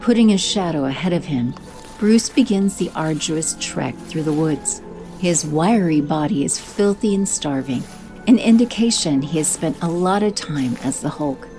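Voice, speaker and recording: A woman giving steady scripted narration for an audio drama. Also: sits low, moderate pace, faint music behind. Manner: steady, descriptive.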